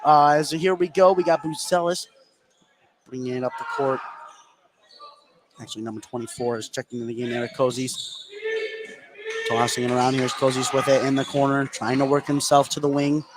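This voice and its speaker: A man doing live play-by-play basketball commentary for radio, getting excitable on big plays. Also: mid-range voice, normal speed, pauses often, a crowd in the background. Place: indoor basketball gym. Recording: noisy live gym broadcast. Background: loud game ambience.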